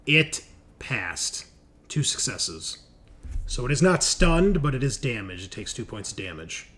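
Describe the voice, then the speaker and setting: A man's voice: mid-range voice, big pitch swings, a little slow, noisy background. A man running a tabletop game as game master, informal and sweary, thinking aloud as he goes. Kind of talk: casual tabletop game refereeing. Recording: voice over constant music. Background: music.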